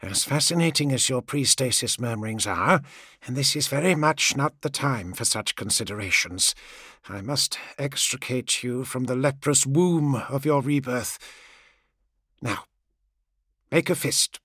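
A man voicing a calm, imperious, sardonic commander in a story, with a wry, pompous, measured delivery. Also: medium pitch, husky, no room sound, clean studio sound.

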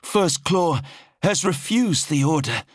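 A man's voice: fairly high pitch, animated, slow, no echo, pitched above the performer's own voice. A nervous human officer in a science-fiction audiobook, voiced by a male narrator. He speaks in a raised, dry, close voice, formal and dutiful. Strain shows beneath his controlled military reporting. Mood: fearful, controlled.

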